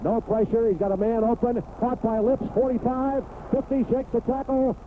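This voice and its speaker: An American man calling football play-by-play on the radio in clipped phrases that build toward excited calls. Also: very high-pitched, normal speed. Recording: tinny, noisy 1980s AM radio broadcast.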